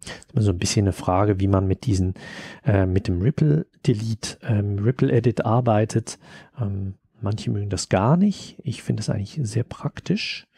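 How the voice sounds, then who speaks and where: A man gives a relaxed software tutorial, thinking aloud while he works. His voice is low and creaky with a mellow, dark tone. The recording is close and dry. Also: moderate pace, dry recording. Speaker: tutorial presenter.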